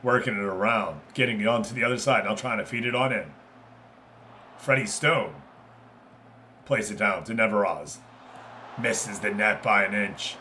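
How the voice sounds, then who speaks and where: Male game streamer, bright forceful voice, live football play-by-play with casual chat asides, excitable delivery. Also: fairly low pitch, moderate pace, long pauses, a little hiss or hum. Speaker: streamer commentating football management game matches.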